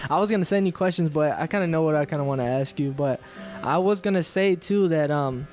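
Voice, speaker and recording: A man's voice: on the high side, a little fast, a little mellow, faint music behind. A young man hosting a podcast, chatting casually with a friendly, teasing manner. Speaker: podcast host.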